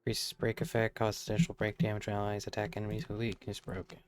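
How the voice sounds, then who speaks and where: Low-voiced man live-streaming a game. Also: completely flat delivery, fast talker. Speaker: livestreamer playing a game. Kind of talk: casual live game commentary. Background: faint game music.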